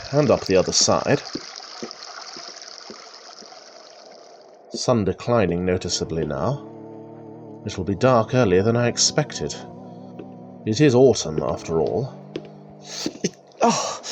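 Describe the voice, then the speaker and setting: A man acting as a country rambler who talks to himself in a radio play. His delivery is lively and swings widely in pitch, moving between wry musing and old-fashioned exclamations. Music plays faintly behind him. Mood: musing, observant, then annoyed. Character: chatty male country rambler talking to himself, wry and excitable. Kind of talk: radio drama monologue, thinking aloud.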